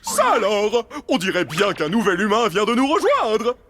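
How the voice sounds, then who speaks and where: A man's voice: high voice, wildly swooping pitch, moderate pace, fairly bright tone, slight background noise, full character voice. A man playing a manic, showy cartoon circus ringmaster with grandiose announcer delivery. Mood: cheerfully scolding.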